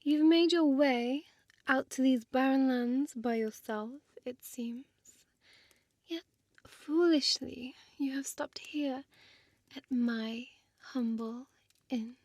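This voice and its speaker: Slow, breathy seductive vampire woman, acted by a woman. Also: high voice, darkish tone, dry recording, heavily acted. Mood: amused, taunting. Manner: slow, teasing, breathy half-whisper, archaic wording. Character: seductive, menacing female vampire innkeeper toying with prey. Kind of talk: intimate audio roleplay acting.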